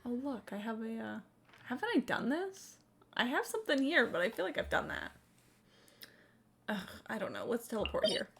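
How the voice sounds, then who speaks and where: A woman's voice: on the high side, sing-song, normal speed, gravelly, long pauses, background noise. A woman live streamer giving casual game commentary in a blunt, sweary, offhand way.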